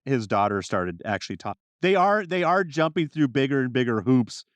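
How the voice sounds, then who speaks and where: A man's voice: mid-range voice, very animated, normal speed, no echo, highs cut off. A man co-hosting a podcast, talking through ideas in a casual, informal way. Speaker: podcast co-host.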